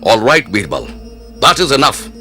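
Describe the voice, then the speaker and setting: A proud Mughal emperor in a cartoon moral tale. He is pompous and easily offended, his pitch rising and falling freely over prominent music. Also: huge pitch swings, normal speed, bright, forceful tone. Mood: angry. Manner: pompous, emphatic, commanding. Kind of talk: animated moral tale acting.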